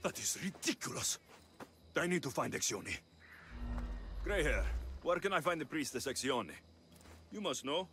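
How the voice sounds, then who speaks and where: An actor playing a plain-spoken heroic mercenary in a video game, with a direct, questioning manner. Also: male voice, a little high, very animated, moderate pace, pauses often, a bit bright, slight background noise. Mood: exasperated. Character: heroic Greek mercenary, plain-spoken, helpful, quick to exasperation. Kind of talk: video game cutscene acting.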